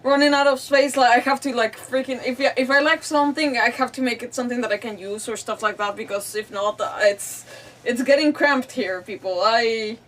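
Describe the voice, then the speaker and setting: A woman's voice: fairly high pitch, brisk, fairly bright tone, far from the mic. A woman chatting casually as she streams a video game. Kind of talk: casual gaming stream chat.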